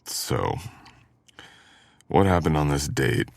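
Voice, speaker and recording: A man's voice: extremely low pitch, lively intonation, takes it slowly, creaky, no room sound, full character voice. A man playing a teasing, caring male best friend in an intimate boyfriend ASMR audio roleplay, with playful grumbling. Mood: concerned, gentle.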